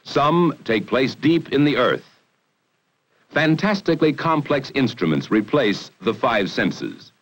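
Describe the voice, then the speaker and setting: An American man narrating a 1960 educational film in a formal, measured, authoritative way, on a vintage film soundtrack. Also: mid-range voice, very animated. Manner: formal, measured, authoritative delivery with emphatic phrasing.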